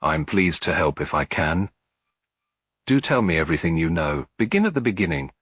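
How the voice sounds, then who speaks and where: A man voicing a kindly elderly lady detective, gentle, reassuring and politely inquisitive, in a storybook reading. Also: deep voice, sing-song, normal speed, slightly rough, no room sound, clean studio sound, heavily acted.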